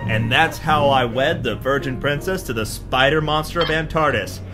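A man's voice: medium pitch, moderate pace, long reverb tail, natural voice. A man playing a boastful macho adventurer hero in a theatrical, hard-boiled pulp radio serial style. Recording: music behind the voice.

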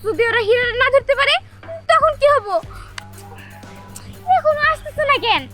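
A woman's voice: extremely high pitch, animated, normal speed, music in the background. A woman voicing a spoiled, jealous and threatening chairman's daughter in a cartoon drama. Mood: impatient, scolding.

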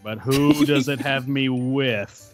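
A man's voice: medium pitch, fairly even intonation, takes it slowly, faint music behind, close to an everyday voice. A man voicing a sardonic, brooding young man who is dry and incredulous, in a Minecraft roleplay.

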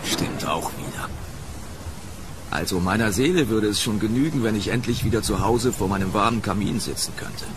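A man's voice: on the low side, normal speed, lightly acted. An actor playing a grumbling but friendly policeman on night patrol in a horror radio drama, with a weary, familiar manner. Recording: noisy rain ambience behind voice.

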